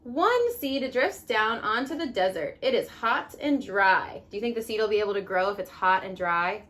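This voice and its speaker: A woman teaching young children as a mother at home, reading a picture book expressively and pausing to ask simple questions. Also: mid-range voice. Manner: expressive, instructive, asks leading questions.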